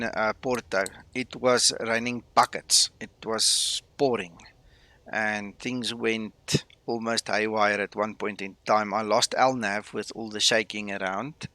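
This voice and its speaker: Gravelly South African man, casual streamer. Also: medium pitch, steady tone, slow, pushed and bright, dry recording. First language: Afrikaans. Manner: chatty, greets viewers, many fillers. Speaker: flight sim streamer. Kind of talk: casual live-stream chat.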